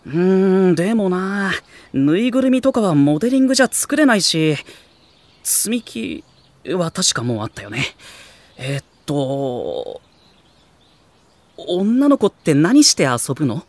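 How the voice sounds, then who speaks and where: A man's voice: high-pitched, sing-song, unhurried, no room sound, full character voice. A man playing a mild-mannered, good-natured teenage boy in an anime audio drama, speaking casually. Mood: thoughtful. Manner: casual, gently exasperated.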